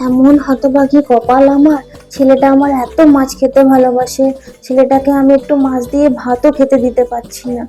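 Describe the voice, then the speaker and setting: A woman's voice: high voice, flat delivery, normal speed, dark tone, lightly acted. A woman voicing a sorrowful, poor village mother in a cartoon, lamenting her fate in a mournful manner. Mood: grieving. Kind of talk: cartoon dubbing. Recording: music under the voice.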